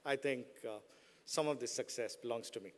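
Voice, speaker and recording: A man's voice: mid-range voice, normal speed, very noisy. A middle-aged man giving a commencement address from a podium in a large hall, mixing playful jokes with the audience into his speech. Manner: joking, playful asides to the audience. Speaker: business school dean giving a commencement speech. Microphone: podium microphones.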